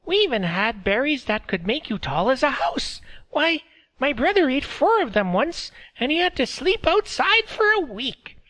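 A narrator voicing an old village woman who chatters about the old ways in a high, rambling voice. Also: big pitch swings, normal speed, fairly bright tone, low-quality recording.

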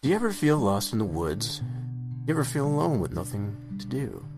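A man's voice: mid-range voice, big pitch swings, fairly quick, over music. A man playing an announcer in a tongue-in-cheek radio advert. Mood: mock-sincere.

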